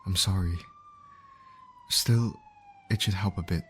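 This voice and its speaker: A man's voice: low-pitched, huge pitch swings, takes it slowly, a bit bright, slight background noise, heavily acted. A man acting a caring field medic in an ASMR roleplay, speaking directly and reassuringly to an injured patient. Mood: soothing, concerned. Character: male field medic, calm, caring, urgent under pressure.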